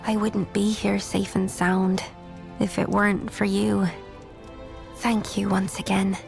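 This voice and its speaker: A woman playing a gentle, polite village oracle in a video game, soft-mannered. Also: medium pitch, level tone, takes it slowly, vocal fry, over music. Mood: gentle, grateful. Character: gentle, grateful young female village oracle. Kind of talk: video game cutscene acting.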